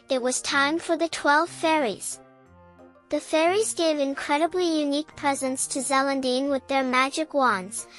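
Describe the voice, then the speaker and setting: A woman reading a children's bedtime story aloud in a slow, gentle storytelling manner. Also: high-pitched. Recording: with faint background music.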